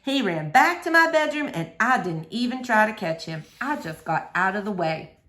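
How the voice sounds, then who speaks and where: Animated woman reading a children's story. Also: medium pitch, normal speed. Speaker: storybook reader. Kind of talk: expressive read-aloud of a children's novel.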